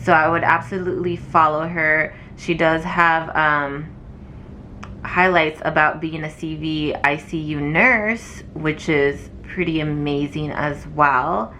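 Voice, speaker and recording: A woman's voice: sits low, steady tone, slow, bright, forceful tone, a little hiss or hum. A young woman, a nursing student, chatting casually to camera with lots of fillers, her voice wearing thin from talking. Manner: chatty and rambling, many fillers. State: losing her voice.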